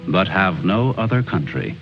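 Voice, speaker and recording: An actor narrating an old war film as a solemn, philosophical storyteller with a grave, measured delivery. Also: male voice, sits low, big pitch swings, gravelly, full character voice. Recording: dull old film soundtrack under loud music.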